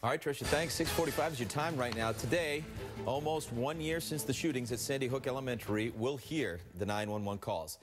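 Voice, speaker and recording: A man's voice: mid-range voice, a little fast, music in the background. An American man anchoring morning TV news, mixing read copy with casual banter.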